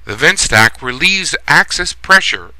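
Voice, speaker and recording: A man giving a scripted plumbing lesson as a voice-over instructor, reading in a measured, steady way. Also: a little high, no room sound, poor audio.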